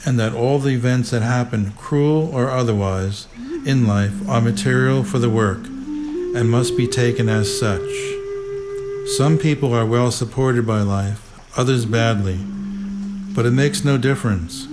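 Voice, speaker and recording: A man reading spiritual teachings aloud in a measured, deliberate, instructive way. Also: mid-range voice. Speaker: reader of spiritual commentary. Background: prominent music.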